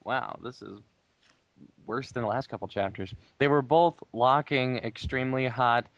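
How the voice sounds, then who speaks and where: A man bantering casually and reading fan fiction aloud in a deliberate, wry way. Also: mid-range voice, no room sound, dull audio. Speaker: player in a dramatic group reading game.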